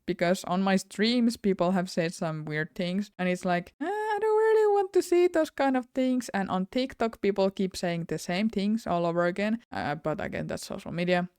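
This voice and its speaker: A woman's voice: lowish voice, a little mellow, clear, resonant tone, dry recording, studio quality. A young woman with a Finnish accent, talking openly in a personal vlog, rambling and correcting herself as she goes. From Finland. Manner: candid and rambling, with self-corrections and little laughs. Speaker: YouTuber.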